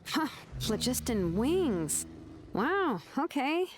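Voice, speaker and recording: A woman's voice: sits high, sing-song, extremely slow, a little hiss or hum, full character voice. An actress playing a sleepy, nonchalant young woman in a video game, drawling her words, with music behind. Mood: casual, intrigued. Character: laid-back, sleepy young woman fond of naps.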